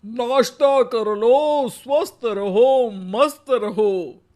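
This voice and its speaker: A man acts out an old henpecked husband in a comic cartoon tale. His voice is very high for a man, and he talks slowly. He is wry and sarcastic, forever needling with mock pleading. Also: darkish tone, full character voice. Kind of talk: comic animated moral story acting.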